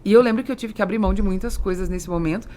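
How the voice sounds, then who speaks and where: A woman's voice: medium pitch, racing, warm tone. A woman with a Brazilian accent hosting a podcast in a conversational interview style, telling stories in an emphatic way. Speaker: podcast host.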